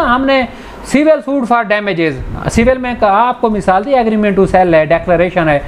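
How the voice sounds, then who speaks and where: A man's voice: high voice, a little hiss or hum. A man with a Pakistani accent giving an informal law lecture, explaining in a conversational way and mixing in English legal terms. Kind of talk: informal lecture explaining statute articles with examples.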